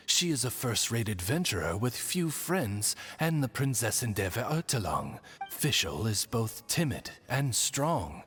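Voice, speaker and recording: A man voicing a loyal, courtly raven servant in a video game, formal, measured and reverent, with a husky voice. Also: fairly low pitch, fairly bright tone, full character voice. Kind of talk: video game character acting.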